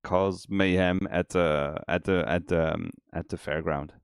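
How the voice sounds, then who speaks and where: A man with a cold, his voice extra deep, chatting easygoing and rambling with many restarts while streaming game development. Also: steady tone, measured pace, vocal fry, clean tone, no echo, clean studio sound. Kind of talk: casual chat on a dev stream.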